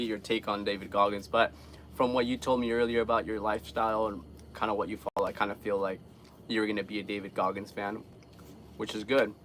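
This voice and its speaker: A man's voice: fairly low pitch, a bit bright, a little hiss or hum. A young man from Guatemala chatting casually with live viewers in an earnest, rambling, informal way. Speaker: live streamer.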